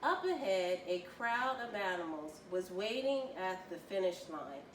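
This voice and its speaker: A woman reading a children's story aloud with expressive, deliberate storytelling. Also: lowish voice. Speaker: volunteer children's story reader. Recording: roomy, distant mic, faint noise.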